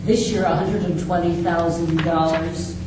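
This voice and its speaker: A woman's voice: on the low side, flat delivery. A woman on a public board presses her point insistently, stumbling over restarts. She is heard from a distance. Heavy hall echo and room noise surround her. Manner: insistent, halting follow-up. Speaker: board member. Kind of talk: questioning at a public meeting. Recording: echoey hall, distant mic.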